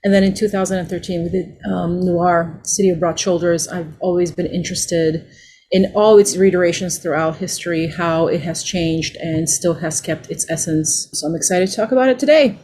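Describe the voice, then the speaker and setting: A woman's voice: fairly low pitch, moderate pace, a little mellow. Woman panelist, faint noise behind. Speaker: theater company member on a panel. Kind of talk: podcast panel discussion.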